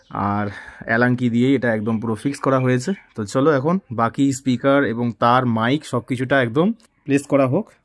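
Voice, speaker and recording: Male vlogger, mid-range voice, informal hands-on installation walkthrough with English words mixed in. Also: mid-range voice. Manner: mixes English words into explanations. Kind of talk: casual hands-on installation tutorial.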